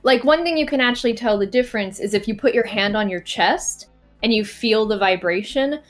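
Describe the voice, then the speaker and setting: A woman's voice: a little high, brisk, soft background music. A woman on a fan production's commentary panel, chatting casually and demonstrating voice placement. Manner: lively, demonstrative. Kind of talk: casual group chat.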